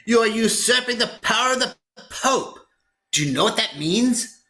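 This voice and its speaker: A man's voice: very high-pitched, lively intonation, moderate pace, bright, forceful tone, exaggerated voice. A man playing a righteous, unbending religious statesman in an online play reading, speaking formally and admonishingly. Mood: righteous, defiant.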